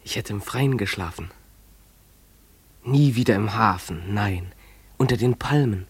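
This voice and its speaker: An actor playing a dreamy, defiant runaway schoolboy in a German radio play, speaking in an imploring, declamatory way. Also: male voice, on the low side, a little slow, breathy edge, no room sound. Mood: wistful, dreamy.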